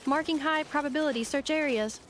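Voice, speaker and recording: A woman's voice: high-pitched, a little fast, background noise, natural voice. A woman voicing an earnest female robot companion in a video game, speaking in clipped, formal, machine-like phrases. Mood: flat, factual. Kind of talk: video game character acting.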